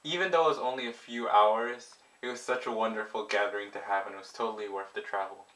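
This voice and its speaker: A man's voice: on the low side, fairly even intonation, quick pace, a bit bright, rough recording. A man narrating a vlog and telling stories to his viewers. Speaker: vlogger.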